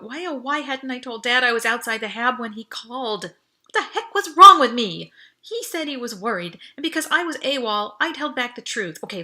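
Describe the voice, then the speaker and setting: A woman reading first-person audiobook narration in an expressive, urgent, dramatic way. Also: on the high side. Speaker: author reading own novel.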